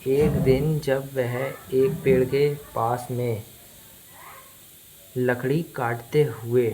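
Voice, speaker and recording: A man with an Indian accent, a native Hindi speaker, teaching English phrase by phrase in a deliberate, repetitive, explanatory manner. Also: medium pitch, little pitch movement, warm tone, rough recording. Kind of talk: bilingual language lesson, phrase by phrase.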